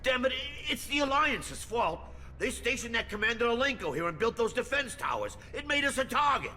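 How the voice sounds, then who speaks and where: Resentful colony mechanic, animated pitch, tense tone, grumbling, video game dialogue, long reverb. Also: male voice, a little fast, a little hiss or hum, heavily acted. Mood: angry. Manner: grumbling, agitated. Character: bitter, suspicious male colony mechanic, rattled and resentful.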